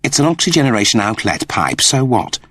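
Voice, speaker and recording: A man's voice: medium pitch, sing-song, a little fast, a bit bright, slightly rough, no room sound, pitched above the performer's own voice. A man voicing an irritable, cowardly, sarcastic man in an audiobook story, snippy and impatient. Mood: sceptical.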